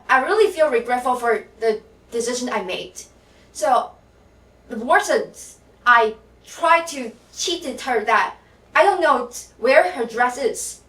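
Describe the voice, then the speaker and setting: A teenage girl gives a confessional contest speech, pausing with ums and uhs as she tells it. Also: high voice, a bit bright. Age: teens. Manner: confessional, with fillers like um and uh. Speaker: student contestant. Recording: echoey hall, mic at a distance.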